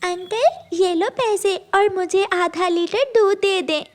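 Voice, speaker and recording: A woman's voice: very high voice, takes it slowly, voice pushed up. A woman voicing a young girl bird in a children's cartoon, plucky and childlike, with a whiny, pleading edge.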